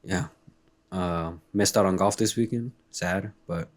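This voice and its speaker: A man co-hosting a casual sports podcast, laid-back and joking with quick quips, his voice a little hoarse from a recent illness. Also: low voice. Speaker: podcast co-host. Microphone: remote call recording.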